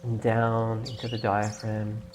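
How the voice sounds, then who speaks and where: A man leading a guided meditation in a gentle, instructing way, leaving long pauses between phrases. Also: on the low side, monotone, slight background noise. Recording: clear birdsong and nature ambience behind. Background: birdsong, nature ambience.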